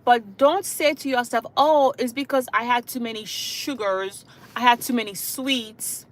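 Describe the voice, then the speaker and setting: A woman from Haiti speaking as a hospice caregiver to her online viewers. Her voice sits fairly high, and her delivery is earnest and repetitive. She leans on "you know" and "okay?" between her points. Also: a little slow. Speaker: hospice caregiver and channel host. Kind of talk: livestream talk to viewers.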